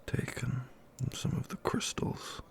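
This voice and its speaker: A man playing a tender, doting fantasy lover in an intimate ASMR roleplay, soothing and gently teasing in a soft whisper. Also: a little slow, lightly acted. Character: tender, doting male fantasy lover caring for his partner.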